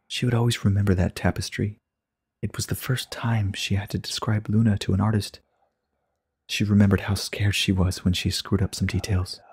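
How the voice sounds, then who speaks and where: A man narrating a story dramatically in a sombre, subdued manner, with a husky, breathy voice. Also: low voice, a little mellow.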